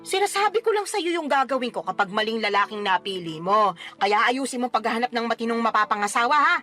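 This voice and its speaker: A woman's voice: on the high side, lively intonation, fast, pushed and bright. Blunt, teasing Filipino mother. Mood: blunt, scolding. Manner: nagging, bantering, emphatic. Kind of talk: Filipino radio drama acting. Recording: music bed under the voice.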